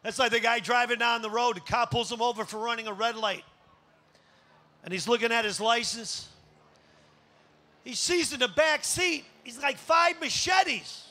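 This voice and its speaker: Very high, bright-voiced male stand-up comic. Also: steady tone, normal speed. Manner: teasing the audience. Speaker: stand-up comedian. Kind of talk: stand-up comedy routine. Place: live comedy show before an audience.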